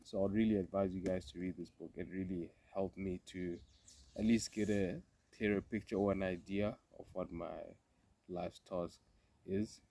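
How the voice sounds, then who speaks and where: A young man with a cold, talking in a relaxed, reflective way with many fillers and restarts while sitting outdoors in a garden. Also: deep voice, normal speed. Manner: thoughtful, meandering, with frequent fillers and restarts. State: congested from a cold. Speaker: vlogger. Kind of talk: casual reflective vlog.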